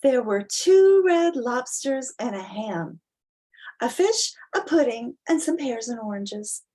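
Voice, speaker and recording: A woman reading a children's storybook as a dramatic reading, with deliberate, theatrical emphasis. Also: a little high, expressive, dark tone. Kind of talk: expressive dramatic storybook reading with casual asides.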